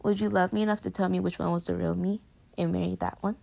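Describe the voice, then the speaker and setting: Woman acting a creaky-voiced, playful clingy girlfriend. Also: on the low side, flat delivery, fast, mellow. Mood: playful, affectionate. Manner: teasing, rambling, swinging from murmurs to exclamations. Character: playful clingy young girlfriend, teasing and affectionate. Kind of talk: intimate girlfriend roleplay audio, pillow talk.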